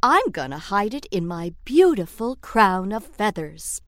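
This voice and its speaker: Storybook role: boastful vain buzzard, done by a woman, raised and loud. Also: mid-range voice, big pitch swings, a little slow, no room sound, studio quality.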